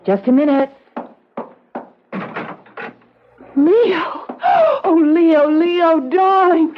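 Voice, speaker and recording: A frantic, tearful young wife who pleads in a high, breathless voice with slow, halting words. The sound comes through a dull, muffled vintage radio broadcast. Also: female voice, music in the background. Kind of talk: 1970s radio drama acting.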